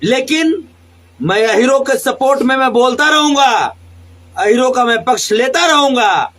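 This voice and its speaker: High-pitched, combative man with Bhojpuri accent. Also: lively intonation, unhurried, fairly bright tone. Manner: combative, defiant, swearing, repeats phrases for emphasis. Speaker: singer. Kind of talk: angry live social media rant.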